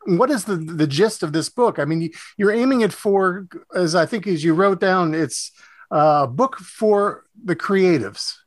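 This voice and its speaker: A man hosting a conversational radio interview, speaking in halting phrases. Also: high voice, expressive, no echo. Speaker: radio show host.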